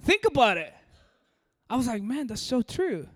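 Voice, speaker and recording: A man from Peru with an American-sounding accent preaches in a large hall. His voice sits high and rings with a long reverb. He talks directly to the crowd and coaxes them along. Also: very animated, normal speed, a bit bright. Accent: American-sounding English. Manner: direct and coaxing, addresses the audience. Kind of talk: sermon.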